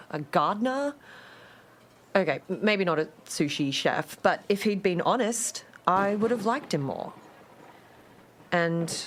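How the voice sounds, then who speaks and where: A woman's voice: sits low, slight background noise. An actress playing an awkward, jilted woman in her late thirties, leaving hesitant, rambling, emotionally exposed voicemails in a radio drama.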